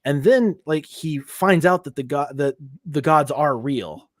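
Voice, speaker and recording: A man's voice: fairly high pitch, a little slow, dry recording, clean studio sound. A man co-hosting a casual nerd podcast, ranting with frequent swearing and filler words. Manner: profane, ranting, lots of fillers. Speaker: podcast co-host. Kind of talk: casual chat.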